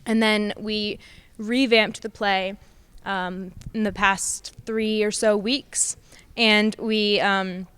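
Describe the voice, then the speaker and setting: A woman's voice: mid-range voice, little pitch movement, slow, fairly bright tone, clear, resonant tone. A teenage female student actor with an American accent, explaining things in an interview.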